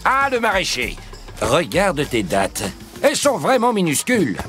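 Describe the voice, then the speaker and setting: A man's voice: sits high, wildly swooping pitch, measured pace, over music, exaggerated voice. A man playing a pompous, foolish vizier in a children's cartoon, self-assured yet often hesitating. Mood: bossy. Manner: pompous, hesitant, throat-clearing. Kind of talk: animated children's cartoon acting.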